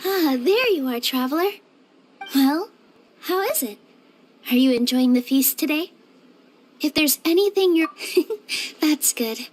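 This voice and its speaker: A woman voicing a gracious young dancer hosting a feast in a game, gently excited and welcoming. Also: high-pitched. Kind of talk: game cutscene dub.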